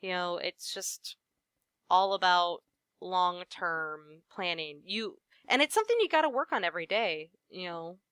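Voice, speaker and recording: A woman voice actress giving advice in a casual interview, friendly and quick to laugh, with lots of "you know.". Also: fairly low pitch, lively intonation, fairly bright tone, clear, resonant tone, no room sound, studio quality.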